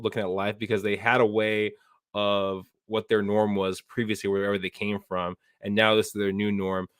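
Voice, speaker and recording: A man's voice: fairly low pitch, dry recording, clean studio sound. A man hosting a podcast, asking long, hesitant interview questions filled with "I mean" and "um". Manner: hesitant, many "I mean" and "um" restarts. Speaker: podcast host.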